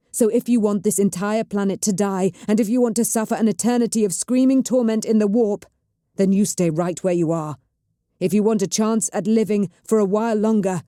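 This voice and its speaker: A woman's voice: on the low side, animated, a little slow, warm tone, no room sound, studio quality. Woman voicing Cheta, a gritted-teeth navigator. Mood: urgent. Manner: gritted-teeth, acid and commanding. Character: battered stubborn woman navigator in chronic pain.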